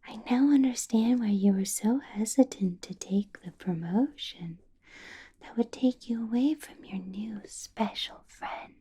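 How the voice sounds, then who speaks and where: A woman playing a centuries-old vampire boss in a whispered ASMR roleplay, teasing and menacing, with deliberate pauses and a falsely pleasant manner. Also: fairly high pitch, dark tone. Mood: coolly knowing. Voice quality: breathy whisper.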